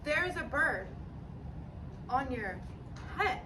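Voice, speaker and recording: A woman voicing a cheerful, matter-of-fact little pig in a children's picture book read-aloud, deliberate and playful. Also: high-pitched, big pitch swings, full character voice. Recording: distant mic, clearly noisy background.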